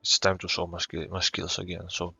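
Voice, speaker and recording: A man's voice: low voice, monotone, a little fast, creaky, sharp tone, breathy edge, faint music behind. A man giving casual game commentary as a streamer, joking and sardonic. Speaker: gaming streamer. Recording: dull, echoey room recording.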